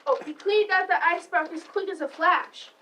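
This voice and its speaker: School-age girl narrator, high voice, reading a storybook aloud; distant mic with faint noise. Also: moderate pace, pushed and bright. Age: child, about 11 to 12. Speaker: student narrator. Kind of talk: readers theater story narration. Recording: distant mic, noisy live stream.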